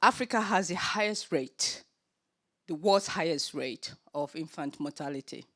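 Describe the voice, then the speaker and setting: A woman with a Nigerian accent chairing a forum panel, speaking earnestly with frequent filler pauses. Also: sits low, animated, a bit bright. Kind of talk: opening remarks at a forum panel.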